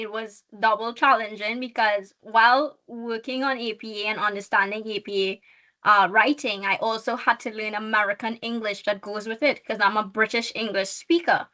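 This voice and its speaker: Bright-voiced Trinidadian woman. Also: on the high side, fairly even intonation, moderate pace, low-quality recording. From Trinidad and Tobago. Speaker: guest valedictorian student. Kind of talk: online workshop interview.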